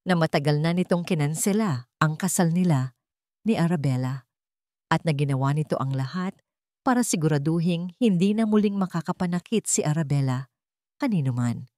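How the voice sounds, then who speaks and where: A Filipino woman with a fairly low voice narrates a romantic drama. Her delivery is sentimental and measured, broken into short phrases. The recording is dry and close, with little room sound. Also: mellow, no room sound. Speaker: story narrator.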